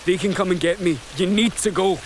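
Casting: male actor as injured, grave man, slightly high voice, insistent, radio horror with faint rain behind. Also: brisk, close to an everyday voice. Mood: urgent warning. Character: wounded, stoical man giving urgent warnings. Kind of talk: horror radio play acting. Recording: faint rainy outdoor ambience noise.

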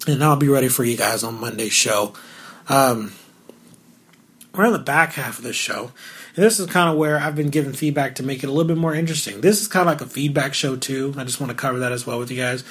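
A man with a naturally raspy voice, hosting his own talk show alone, talking candidly and cracking asides. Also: medium pitch, quick pace, fairly bright tone. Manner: candid and joking, with asides and filler words. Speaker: podcast host. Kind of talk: solo casual talk show.

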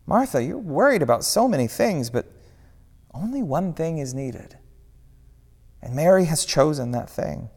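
A man voicing Jesus as a gentle yet firm man, speaking earnestly with a raised, pleading voice. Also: fairly high pitch, big pitch swings, measured pace, creaky, pauses often, breathy edge, pitched above the performer's own voice, heavily acted. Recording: long echoey hall reverb.

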